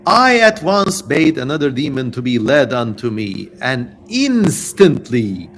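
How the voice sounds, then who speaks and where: A man's voice: mid-range voice, sing-song, a little slow, fairly bright tone. A man voicing an imperious ancient king with stern, theatrical, archaic speech in a dramatic reading, over some background noise. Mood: commanding. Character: imperious ancient king interrogating and commanding demons. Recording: noisy livestream audio.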